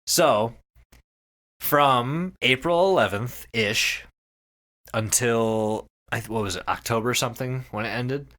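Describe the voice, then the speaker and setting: Animated, bright-voiced male podcast host. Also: mid-range voice. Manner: profane, emphatic, repeats phrases for effect. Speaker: podcast host telling a story to co-hosts. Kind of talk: casual, animated storytelling chat.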